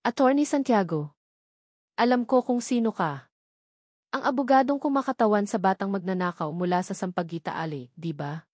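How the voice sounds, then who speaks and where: A woman's voice: mid-range voice, moderate pace, darkish tone, no echo, studio quality, lightly acted. A woman narrator voicing a cold, judgmental young rich playboy in a dramatic story. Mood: cold, accusing.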